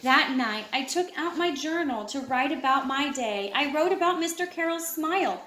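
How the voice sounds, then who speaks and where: A woman reading a children's picture book aloud with an expressive, lilting delivery. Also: sits high, normal speed, slight background noise. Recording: echoey room.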